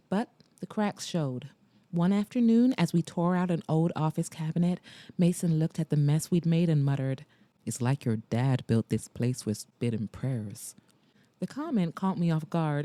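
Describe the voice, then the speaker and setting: A woman narrating a romance audiobook in first person, with a measured, intimate delivery on a close studio mic. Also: lowish voice, mellow, no room sound.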